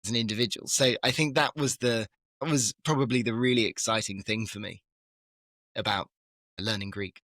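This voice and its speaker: A man who is a scholar and a guest on a podcast, explaining ideas in a relaxed conversation, with emphatic rises and light laughs. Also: lowish voice, moderate pace, dry recording. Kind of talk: casual conversation.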